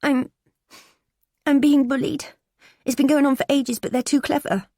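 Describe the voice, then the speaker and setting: A woman's voice: fairly even intonation, moderate pace, mellow, dry recording, clean studio sound. A woman voicing a distressed teenage girl who is tearful and pleading. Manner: tearful, pleading, high and strained.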